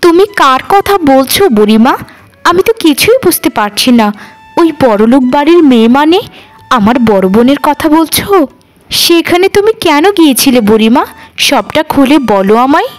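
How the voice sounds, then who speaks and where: Cartoon role: kindly, modest young wife from a village performed by a woman, high and soft-mannered. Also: animated, normal speed. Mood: gentle, concerned. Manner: gentle, apologetic. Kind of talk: Bengali cartoon dialogue.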